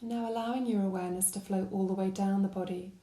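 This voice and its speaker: Mellow woman guiding a meditation. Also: mid-range voice, roomy sound. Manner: gentle, unhurried instruction with calm phrasing. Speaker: meditation guide. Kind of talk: guided body scan meditation narration.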